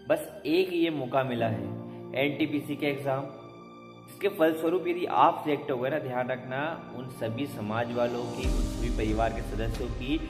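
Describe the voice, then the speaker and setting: An Indian man giving an emotional motivational speech to students, earnest and pleading, with rhetorical appeals. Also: mid-range voice. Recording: echoey hall reverb, music underneath. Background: music.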